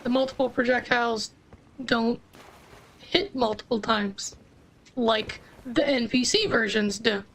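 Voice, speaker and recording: A woman's voice: on the high side, fairly even intonation, a little slow, a little hiss or hum, low-quality recording. A woman streamer chatting casually as she plays, explaining game mechanics with frequent restarts. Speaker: gaming streamer. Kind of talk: casual gameplay commentary.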